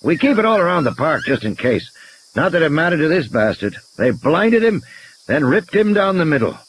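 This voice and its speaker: Man voicing a gruff, sardonic game warden, raised and animated. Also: a little high, normal speed, slight background noise, pitched above the performer's own voice, rough recording. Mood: grim, matter-of-fact. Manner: blunt, unsentimental, sardonic. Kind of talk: audiobook character acting.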